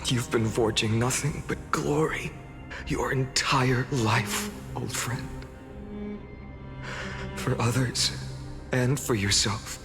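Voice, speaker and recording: A man playing a composed heroic leader in a video game, speaking in a grave, formal, measured way. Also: mid-range voice, fairly even intonation. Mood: solemn farewell. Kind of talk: dramatic video game voice acting. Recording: long hall reverb with music.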